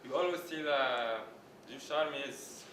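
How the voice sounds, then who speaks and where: A man's voice: a little high, fairly even intonation, normal speed, a bit bright. A man speaks up from the audience in broken English, confrontational and insistent. He is picked up from a distance in an echoey, noisy room. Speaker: debate participant.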